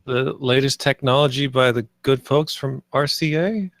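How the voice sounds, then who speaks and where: A man acts out an eager, nervous young designer at a script read-through. His voice sits at a medium pitch with very little room around it. He talks like a salesman who sometimes hesitates. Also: moderate pace, dry recording, studio quality, lightly acted. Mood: nervous salesmanship. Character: eager, nervous 27-year-old theme park designer pitching ideas.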